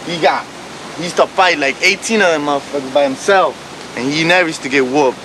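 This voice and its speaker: Slangy, profane man over loud noise. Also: on the high side, fairly quick. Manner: profane, slangy, emphatic repetition. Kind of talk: animated street storytelling. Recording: noisy documentary field recording.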